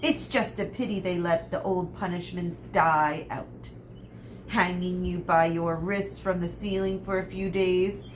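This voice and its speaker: A woman's voice: on the low side, noisy background, natural voice. A woman reading aloud voices a spiteful old male caretaker who gloats menacingly.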